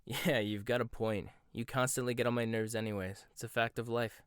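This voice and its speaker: A man's voice: medium pitch, steady tone, a little fast, clear, resonant tone, dry recording, studio quality, close to an everyday voice. A man playing a prickly rival chef. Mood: bickering. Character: proud, prickly young male chef rival. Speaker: voice actor. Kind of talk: boyfriend ASMR roleplay.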